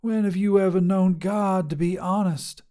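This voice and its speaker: A man's voice: sits high, steady tone, normal speed, warm tone, pitched above the performer's own voice, lightly acted. A man voicing a wiry, mocking woman trucker who turns out to be a demon, with a drawling, teasing, folksy manner. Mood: sly teasing.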